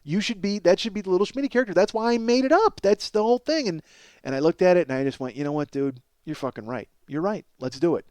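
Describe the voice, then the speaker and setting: A middle-aged man hosting a comedy podcast, telling stories in a casual, profane, self-deprecating ramble and exaggerating excitably for comic effect. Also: high voice, fast, smooth, no echo. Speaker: podcast host, comedic storyteller.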